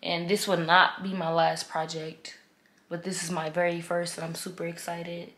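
A young woman in her twenties talks straight into a close camera, candid and heartfelt, with loose slang. Her voice sits a little low for a woman. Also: little pitch movement, normal speed, a bit bright. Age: 20s. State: congested, stuffy. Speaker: vlogger. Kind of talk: casual vlog chat to camera. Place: bedroom, indoors, then in a car.

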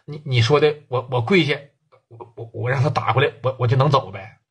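A man's voice: medium pitch, a little fast, a bit bright, breathy edge. A man voicing a cowed gang boss who stammers and mumbles submissively.

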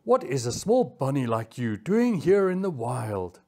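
A woman voicing a stern, commanding male cheetah with a calm, menacing manner in a children's story. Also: medium pitch, a little slow, pitched below the performer's own voice. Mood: calm menace. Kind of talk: children's animal story character voice.

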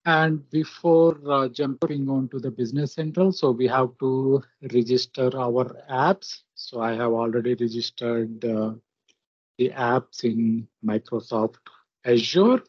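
A man walks through a software demo at an unhurried, halting pace. His voice is somewhat husky, and he gives short instructions one step at a time. The recording is dry and a little dull, like a screen capture. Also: mid-range voice, steady tone, dry recording. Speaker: software tutorial presenter. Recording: muffled lo-fi screen-recording audio.

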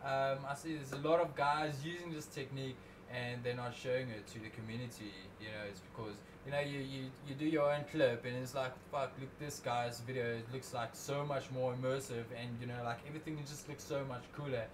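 A man's voice: medium pitch, fairly even intonation, fairly quick, few pauses. Casual young man. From South Africa. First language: Afrikaans. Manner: rambling, self-correcting, casual. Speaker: drone video creator. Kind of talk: livestream screen-recorded editing tutorial. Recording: noticeable background noise.

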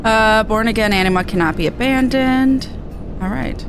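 A woman's voice: fairly high pitch, moderate pace. A woman streaming a video game, chatting casually with viewers and reading game text aloud. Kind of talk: livestream gameplay chat and reading game dialogue aloud. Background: game music.

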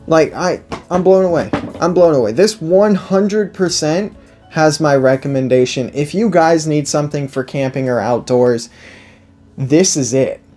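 A man's voice: on the high side, a little slow, dark tone, faint music behind. A man in his twenties giving a casual, unscripted product review indoors, enthusiastic and emphatic, often repeating himself. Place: indoor room, seated at a table before a backdrop.

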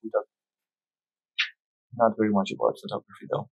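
A man's voice: on the low side, little pitch movement, a little fast, creaky, narrow, tinny audio. A man from Ukraine with a Ukrainian accent, chatting casually and telling anecdotes on a podcast. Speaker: podcast guest, hobby photographer.

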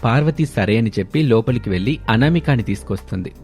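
A man's voice: mid-range voice, lively intonation, fast, darkish tone. A man narrating a scripted family moral story as a storyteller. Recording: background music under voice. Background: music.

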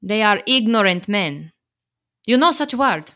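A woman acting in a radio play as a clever, soothing immigrant wife, speaking measured, formal English. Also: mid-range voice, lively intonation, fairly bright tone. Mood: soothing, firm.

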